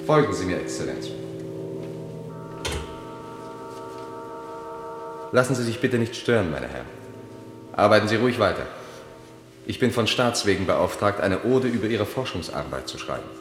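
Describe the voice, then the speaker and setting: An actor playing a courteous, cryptic poet who guides his companion onward, formal and measured, in a German radio play. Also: on the low side, big pitch swings. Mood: formally polite. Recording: echoey hall reverb, faint music.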